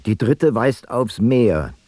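An actor playing a grave ancient voyager, deliberately telling of strange sights in a radio play. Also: male voice, mid-range voice, expressive, dark tone, slightly rough, no echo. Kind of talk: radio play, scripted storytelling.

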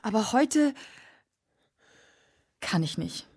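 A woman's voice: fairly high pitch, animated, drawn-out words, creaky, exaggerated voice. An actress playing a troubled, intense woman in a German radio drama. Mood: tender, troubled.

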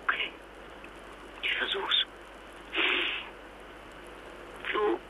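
An actress playing a fragile, exhausted wife and mother stranded abroad, speaking haltingly in a naturalistic radio drama through a telephone filter. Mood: tender, drained. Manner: halting, stammering, emotionally fragile. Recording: noisy muffled phone-line sound.

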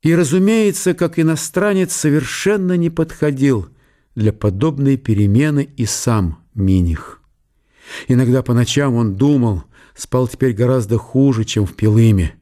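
A man's voice: mid-range voice, moderate pace, mellow, natural voice. A man narrating a historical audio play in a scripted, literary storytelling style.

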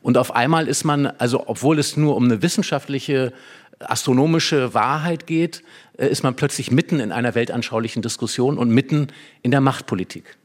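A man's voice: mid-range voice, fairly quick. A man speaking as an expert guest in a conversation about literature, explaining in long, winding sentences. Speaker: expert guest discussing a play.

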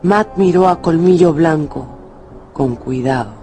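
A man's voice: a little high, unhurried, dark tone, faint music behind, natural voice. A man narrating a radio drama as a dramatic storyteller with scripted prose.

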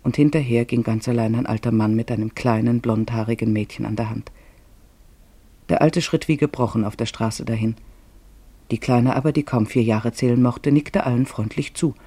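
Dry, husky male storyteller. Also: medium pitch, moderate pace, mellow, dry recording. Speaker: narrator. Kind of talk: scripted literary narration for a radio play.

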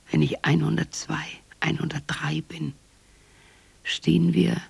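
Croaky, breathy, slow old woman. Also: low voice, no room sound, heavily acted. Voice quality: husky, breathy, gravelly. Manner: incantatory, fragmented phrases with repetitions. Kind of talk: radio drama monologue.

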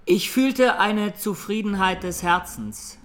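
An actor playing a young Armenian defendant, speaking simply and earnestly, without remorse, in a radio courtroom drama. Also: male voice, sits high, takes it slowly, fairly bright tone. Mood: calm, unrepentant. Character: young Armenian male defendant, sincere, quietly unrepentant.

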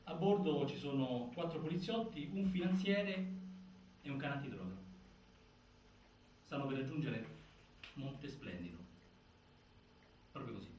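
A man giving dramatic scripted narration, deliberate and full of pauses, recorded from a distance in a very reverberant space. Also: medium pitch, animated, darkish tone. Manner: deliberate, with pauses and repeated phrases. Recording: very echoey stage, distant mic, faint noise.

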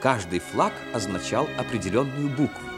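A man narrating a 1960s radio play as a solemn, expressive storyteller. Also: mid-range voice, normal speed, lightly acted. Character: solemn storyteller of a seaside tale. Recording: 1960s radio recording with music. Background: music.

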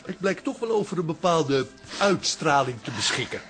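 A pompous Dutch professor in a radio comedy drama. His fairly high voice swings about as he holds forth with pedantic self-importance, with faint music behind. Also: big pitch swings, moderate pace, a bit bright. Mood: smug. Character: pompous, self-important amateur-extra professor, adult man.

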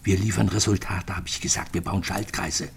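A man's voice: deep voice, fairly even intonation, normal speed, creaky, a little hiss or hum. An actor in a radio drama playing a bitter, lonely retired East German physicist in his sixties, reflecting in clipped phrases. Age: 60s.

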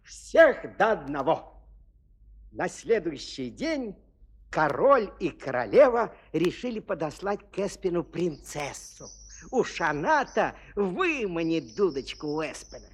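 A male storyteller with a high, husky voice narrates for children. His delivery is expressive and emphatic, with very animated intonation. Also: unhurried. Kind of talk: scripted fairy-tale narration for children.

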